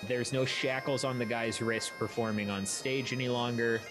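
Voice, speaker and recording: A man runs a tabletop roleplaying game as game master, describing scenes in a conversational, explanatory way. His voice sits at an ordinary male pitch, with music clearly playing behind him. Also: fairly even intonation, normal speed.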